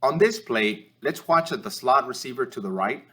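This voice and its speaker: A man narrates a sports training film review as an instructor, explaining in a measured, slightly hesitant way. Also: medium pitch, expressive, sharp tone. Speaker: football officiating instructor.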